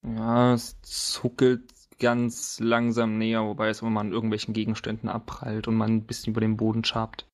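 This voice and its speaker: A man acting as game master, narrating and bantering casually around a tabletop game with halting, hesitant phrasing. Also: fairly low pitch, monotone.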